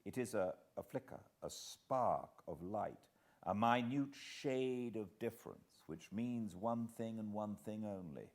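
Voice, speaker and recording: A British man narrating a literary audiobook in a measured, expressive way with dramatic pauses. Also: fairly low pitch. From Britain.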